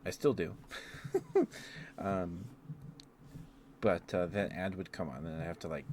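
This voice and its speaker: A man's voice: low-pitched, measured pace, a little mellow. A man livestreamer rambling casually in an ASMR chat. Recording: clear background noise.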